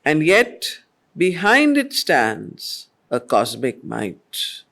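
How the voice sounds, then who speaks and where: Slow, measured woman teacher. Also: low-pitched, wildly swooping pitch, creaky, fairly bright tone. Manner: measured, deliberate, questioning the group. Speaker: study circle facilitator, teacher. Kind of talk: slow poetry reading with explanatory teaching.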